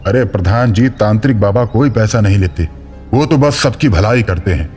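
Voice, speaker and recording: An earnest, helpful man in a cartoon village tale, played by a male actor. He has a fairly low voice over faint background music. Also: moderate pace, darkish tone, natural voice. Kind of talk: cartoon story acting.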